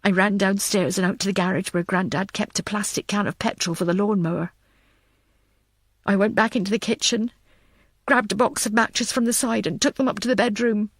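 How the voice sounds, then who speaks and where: A woman's voice: medium pitch, fairly even intonation, fairly quick, no echo, clean studio sound. A woman reading a scripted thriller audiobook as narrator.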